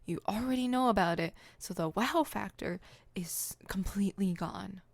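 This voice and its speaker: A woman's voice: medium pitch, moderate pace, gravelly, dry recording. A woman playing a theatrical, poetic supervillain in an ASMR roleplay, haughty and dramatic, stammering when flustered. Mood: flustered, indignant.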